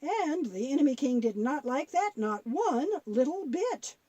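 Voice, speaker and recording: A woman's voice: sits high, very animated, a little slow, warm tone, no echo. A woman playing a kindly, folksy grandmother who patiently tells Bible stories in a children's audio drama. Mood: animated storytelling.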